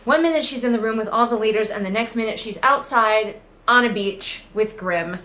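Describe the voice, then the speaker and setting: A woman's voice: fairly high pitch, moderate pace, sharp tone. A woman giving casual, sarcastic book commentary with exasperated, mocking asides. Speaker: book critic hosting a readalong. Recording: noticeable background noise, train outside. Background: ambient noise, passing train at times.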